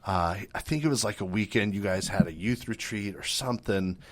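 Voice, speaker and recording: A man's voice: fairly low pitch, a little fast. A middle-aged man hosting a radio talk show, chatting casually and upbeat, and often affirming his guest. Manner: affirming, upbeat, frequent yeahs. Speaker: radio host. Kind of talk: casual interview chat.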